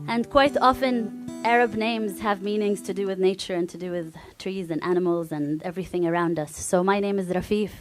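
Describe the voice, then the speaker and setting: A woman's voice: mid-range voice, fairly even intonation, brisk, hardly any pauses. Arabic-accented woman doing spoken word on stage, repeats lines and gets fierce, guitar music playing under her. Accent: Arabic-accented English. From Palestinian family, refugee camp. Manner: rhythmic, repetitive, builds to fierce intensity. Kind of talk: live spoken word poetry performance. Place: live concert stage.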